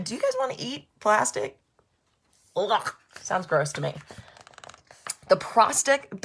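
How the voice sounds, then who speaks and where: A woman reading and explaining a storybook for children in an expressive, animated way, speaking directly to young listeners. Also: lowish voice, slight background noise.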